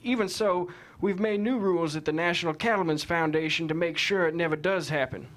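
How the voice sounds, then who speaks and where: A man's voice: on the high side, a little fast, fairly bright tone, natural voice. A man playing an indignant, plain-spoken cattle rancher giving folksy, emphatic testimony in a courtroom drama table read. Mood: defensive.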